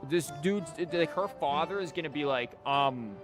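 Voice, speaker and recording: A man's voice: a little high, animated, moderate pace. A man doing casual, rambling gameplay commentary as a streamer, with joking asides. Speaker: game streamer. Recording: loud music behind the voice. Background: game music.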